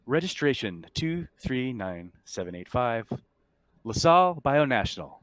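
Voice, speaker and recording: A man voicing a calm female ship's computer in a roleplaying game, making formal, measured announcements. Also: medium pitch, dry recording. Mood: calm, procedural.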